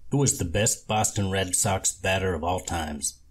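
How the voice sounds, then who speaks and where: A man voicing a retired, baseball-loving husband in a storybook reading, plain-spoken, gruff and sentimental. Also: low-pitched, moderate pace. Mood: gruff approval.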